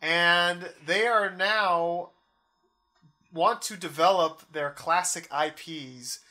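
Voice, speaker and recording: A man with a slightly high, forceful voice chats casually as a podcast co-host. He is enthusiastic and opinionated, speaking off the cuff. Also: takes it slowly. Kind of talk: casual chat about game movies.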